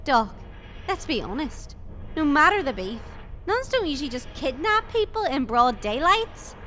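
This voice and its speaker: Woman acting plucky blunt sidekick in an audio drama, high n animated, bit of background noise. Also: unhurried, exaggerated voice. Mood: frank, questioning. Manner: blunt, informal. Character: the Doctor's frank, plucky female companion from Earth.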